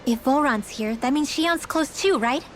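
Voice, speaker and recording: A woman's voice: sits high, moderate pace, slight background noise, lightly acted. An actress playing a perky, curious and caring young woman in an anime-style fantasy video game. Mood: concerned.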